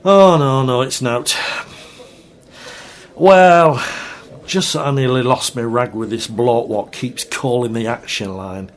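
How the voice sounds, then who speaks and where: An actor playing a tired, edgy local radio traffic presenter in an audio drama, plain-spoken and colloquial. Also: male voice, mid-range voice, slow, lightly acted. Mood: edgy, grumbling.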